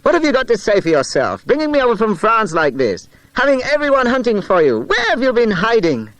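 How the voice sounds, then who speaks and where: Storybook older brother, male reader: polite young man, affectionate and teasing, animated delivery. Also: high voice, brisk, no room sound, voice pushed up, exaggerated voice, rough recording. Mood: fond scolding. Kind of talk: children's audiobook character voice.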